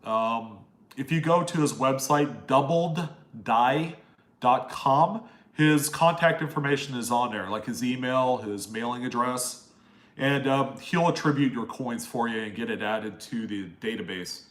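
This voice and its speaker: A man with a nasal voice at a middle pitch, chatting loosely and warmly with his viewers. He rambles from one question to the next and greets people by name. The sound has an echoey, roomy feel. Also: unhurried. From East Coast US, Maryland. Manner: rambling and friendly, reading out viewer names. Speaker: live stream host answering chat questions. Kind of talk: casual live Q&A chat.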